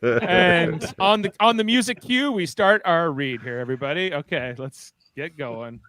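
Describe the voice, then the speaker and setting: Fairly high-voiced man narrating radio play. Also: moderate pace, sharp tone. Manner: informal, playful asides. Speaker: host and narrator. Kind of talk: casual narration of live radio-play script.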